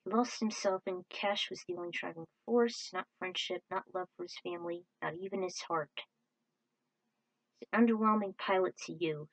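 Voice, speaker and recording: A woman's voice: medium pitch, normal speed, vocal fry, dry recording, low-quality recording. A woman narrating her own informal, scripted commentary about video games.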